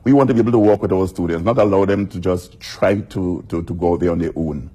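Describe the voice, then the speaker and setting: A man's voice: sits low, mellow, husky. A man, president of a school football league, speaking formally and measuredly in a live TV interview. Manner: formal, measured, repeats words when restarting.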